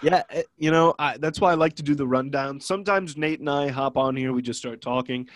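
A man's voice: medium pitch, brisk, no room sound. A young man from Ohio with a cold that makes him sound nasal and stuffy, co-hosting a podcast in loose, rambling, joking chat. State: congested from a cold. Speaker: podcast co-host. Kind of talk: casual chat.

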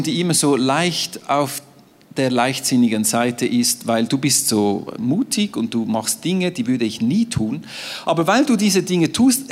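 A man whose first language is French, preaching a sermon on a stage in a playful, animated way. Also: fairly high pitch. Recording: reverberant hall.

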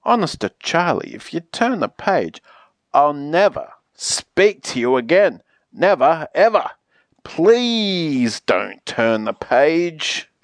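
A woman voicing an exasperated, pleading character in a children's picture book, theatrical and emphatic with drawn-out pleas. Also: deep voice, big pitch swings, dry recording, exaggerated voice. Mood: desperate pleading.

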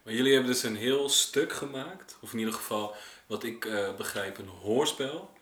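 Male podcast host, mid-pitched, informal interviewing, echoey room. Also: moderate pace, fairly bright tone. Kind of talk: casual conversational interview.

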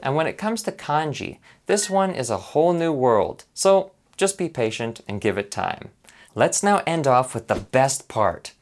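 A man from Canada narrating an upbeat, earnest lesson about learning Japanese. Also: medium pitch. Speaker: language learner presenting a lesson.

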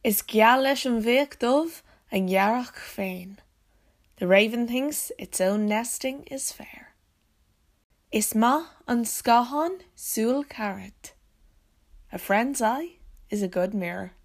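A woman storyteller with an Irish accent reading short scripted sayings in deliberate, measured phrases. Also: a little high.

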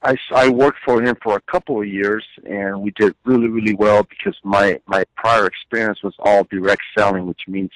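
A middle-aged man from Chile recounts his working life in a relaxed, conversational way. He often stops on fillers and repeats words. His voice is low and sounds dry and close. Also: moderate pace, hardly any pauses, no room sound, poor audio. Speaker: guest, business owner. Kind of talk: conversational storytelling.

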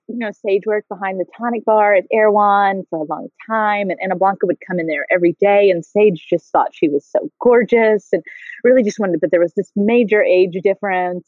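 A woman's voice: mid-range voice, no room sound. Chatty woman host, dry and slightly muffled recording. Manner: chatty, self-deprecating, fast-talking. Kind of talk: casual interview chat.

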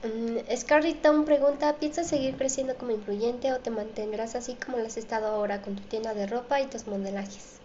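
A woman's voice: fairly high pitch, fairly even intonation, brisk, hardly any pauses, dark tone. A woman hosting an interview show, reading out audience questions and presenting to viewers. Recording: dull, echoey room with faint noise.

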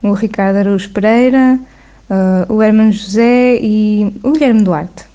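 Portuguese woman, soft mellow voice, muffled lo-fi voice note. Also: mid-range voice, measured pace, smooth. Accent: European Portuguese. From Santarém. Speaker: listener sending a message.

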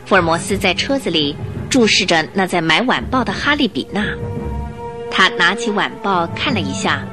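A woman reading scripted narration for a Mandarin radio drama with expressive storyteller phrasing. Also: lowish voice, normal speed, over music.

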